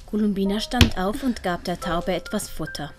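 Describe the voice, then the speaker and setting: A woman's voice: mid-range voice, moderate pace. A woman with a Swiss accent reading scripted audiobook narration as the storyteller. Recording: background music.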